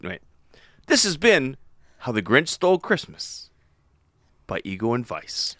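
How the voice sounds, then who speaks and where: A man's voice: medium pitch, wildly swooping pitch, measured pace, a bit bright, dry recording. A man co-hosting a podcast with casual, irreverent, sweary banter. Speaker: podcast co-host.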